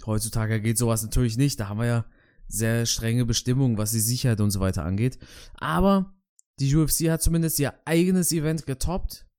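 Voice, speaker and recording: A man's voice: medium pitch, fairly even intonation, fairly quick, a little mellow. Hesitant German man on a sports podcast. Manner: hesitant, many fillers and restarts. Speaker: podcast co-host. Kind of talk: casual sports podcast chat.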